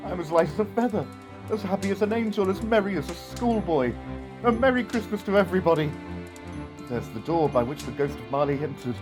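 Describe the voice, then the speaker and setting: A man acts out an old miser from a Victorian tale in an audio drama. His voice sits a little high, and he speaks with intense, theatrical earnestness in formal, old-fashioned phrases. Music plays clearly behind him. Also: expressive, brisk. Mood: giddy joy.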